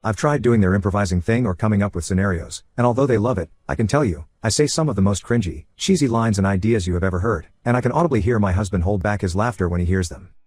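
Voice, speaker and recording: A man reading a first-person online story aloud as scripted narration, in a quick, even storytelling manner. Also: lowish voice, dry recording. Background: faint background music.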